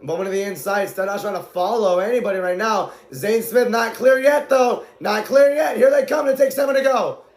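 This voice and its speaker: A man calling a stock car race live as an amateur commentator. He talks excitably, often shouts, and his voice is going hoarse from the strain. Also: high-pitched, a little fast, roomy sound.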